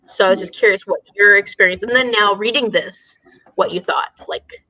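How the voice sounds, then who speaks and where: Bright-voiced woman hosting, slightly dull audio. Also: medium pitch, fairly even intonation, moderate pace, no room sound. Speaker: book discussion host. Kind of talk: casual chat.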